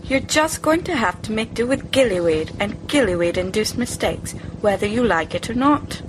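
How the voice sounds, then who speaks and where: A woman's voice: medium pitch, animated, normal speed, natural voice. Woman playing a cheeky theatrical teenage boy, noisy recording. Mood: cajoling. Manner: teasing, melodramatic, wheedling. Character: cheeky, theatrical, mischievous teenage schoolboy prankster.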